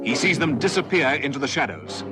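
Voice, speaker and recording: A man's voice: mid-range voice, big pitch swings, brisk. A man narrating a scripted radio crime drama in a dramatic style. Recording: vintage radio recording, loud music behind.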